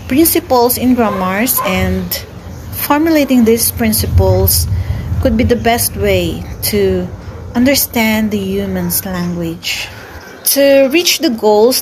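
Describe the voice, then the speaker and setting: Slow, careful young Filipina student. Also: female voice, mid-range voice, slight background noise, rough recording. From Philippines. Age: young adult. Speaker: student presenter. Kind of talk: read class report.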